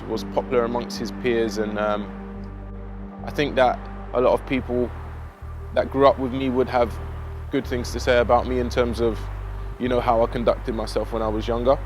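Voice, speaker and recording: A young man with a South East London accent tells his life story in a casual, reflective monologue, with measured and hesitant phrasing. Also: medium pitch. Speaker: vlogger telling own life story. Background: background music.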